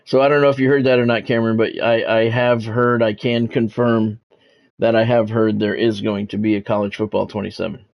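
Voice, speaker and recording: A man's voice: lowish voice, little pitch movement, a little fast, gravelly, muffled recording. A man streaming a sports video game, mixing casual chat to viewers with play-by-play commentary and repeating himself as he goes.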